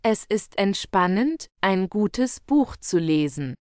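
A woman slowly reading German example sentences for learners, carefully enunciated and repeated. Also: medium pitch, sing-song, a little mellow, smooth, no room sound, studio quality. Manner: carefully enunciated, each phrase read twice. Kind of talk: slow, clear model sentences for learners.

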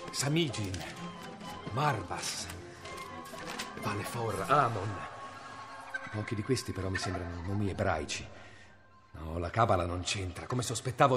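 An actor playing a stern medieval male inquisitor in a radio drama, measured and brooding as he reads from an old book. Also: fairly low pitch, natural voice.

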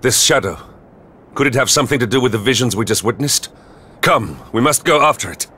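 An actor playing a stern, remorseful knight general in a video game, speaking formally and gravely. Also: male voice, medium pitch, animated, a little fast, bright, forceful tone, a little hiss or hum. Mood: grave, urgent. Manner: formal, solemn, grave delivery. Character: stern, remorseful male knight general, mature, noble and brooding. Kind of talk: video game cutscene acting.

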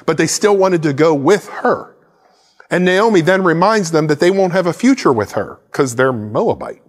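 A man's voice: a little high, long reverb tail. A man in his forties preaching a sermon to camera from a church stage, gesturing with a Bible in hand. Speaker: pastor. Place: church stage set with plants and a cross.

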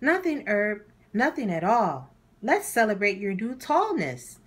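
A woman's voice: mid-range voice, sing-song, measured pace, slightly rough, pitched above the performer's own voice. A woman voicing a kind, cheerful young girl in a storybook, speaking in exclamations. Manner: bright, exclamatory.

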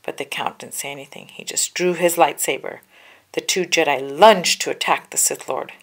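A woman's voice: deep voice, fairly even intonation, moderate pace, breathy edge. A woman reading a children's storybook aloud with careful, dramatic narration. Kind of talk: children's book read-aloud, scripted narration.

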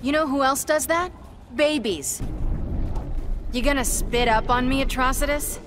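A woman's voice: high-pitched, measured pace, background noise. A woman playing a plucky, defiant young superheroine from another planet, cheeky and confident in video game fight banter.

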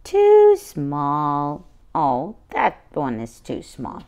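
A woman voicing an excitable, wide-eyed happy baby from a picture book, in simple childlike exclamations. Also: deep voice, extremely slow, lo-fi sound, voice pushed down, full character voice. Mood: eager.

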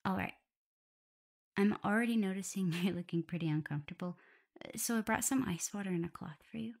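A woman playing a caring, flustered young omega werewolf in an intimate ASMR roleplay, soft and often breathy and whispery, fussing as she talks. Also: mid-range voice, a little fast, close to an everyday voice. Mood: tender, caring. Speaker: audio roleplay performer.

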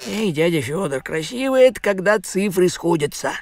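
A man's voice: sits high, very animated, moderate pace, a little hiss or hum. A man voicing a grumpy, thrifty, fussy cartoon tomcat with a nagging, exasperated manner. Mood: weary grumbling. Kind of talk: cartoon voice acting.

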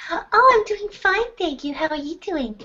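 A woman's voice: extremely high pitch, lively intonation, moderate pace, bright, forceful tone, voice pushed up, heavily acted. A woman doing Beatrice, a sugary girlish voice. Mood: sugary sweet. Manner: sugary, polite, girlish put-on voice. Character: sweet, breathy-voiced young woman, overly polite. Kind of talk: comedic character interview. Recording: dull lo-fi sound.

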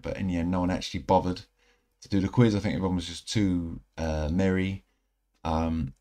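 A man in his thirties giving casual, rambling commentary over mobile game footage, a little croaky from a night out. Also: low-pitched. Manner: relaxed, rambling, self-correcting. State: slightly croaky from singing. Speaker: gaming channel host. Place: indoor room at home.

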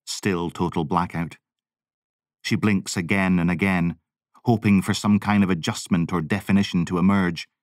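A man's voice: deep voice, fairly even intonation, normal speed, no echo, clean studio sound. A man giving a dramatic scripted narration as an audiobook narrator. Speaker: voice-over artist and audiobook narrator.

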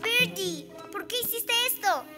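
Give this cartoon little girl superhero a very high, eager, earnest voice with a Latin American Spanish accent. She sounds caring and determined, over loud music. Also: lively intonation, measured pace. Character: spirited six-year-old girl superhero, caring and determined. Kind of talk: dubbed cartoon acting.

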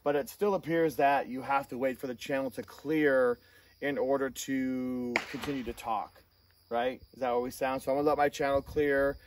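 A man hosting a casual hands-on gear review, talking in a halting way with self-corrections. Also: medium pitch, fairly even intonation. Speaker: host of a gear review channel. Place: outdoor shooting range. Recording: distant mic, outdoor noise, insect chirps.

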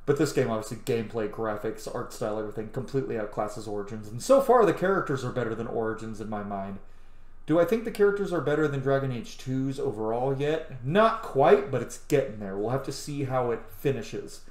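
A man's voice: mid-range voice, animated, fairly quick, a little mellow. Opinionated man gaming in a roomy space. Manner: enthusiastic, opinionated, swears freely. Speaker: gaming let's player. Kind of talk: casual game commentary. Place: small room at home, indoors. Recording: roomy home recording under game audio. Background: game music.

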